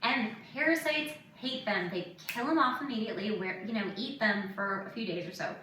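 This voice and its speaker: A woman in her thirties hosting a casual podcast, telling candid personal stories in an animated way and acting out what people said. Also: mid-range voice, brisk. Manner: animated, acts out quoted remarks. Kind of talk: casual chat, candid anecdotes. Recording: echoey room, distant mic, faint noise.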